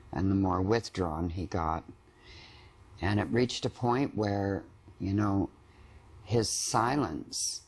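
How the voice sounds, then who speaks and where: A woman giving a reflective, measured personal account as a client in a therapy session. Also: extremely low pitch, a little mellow.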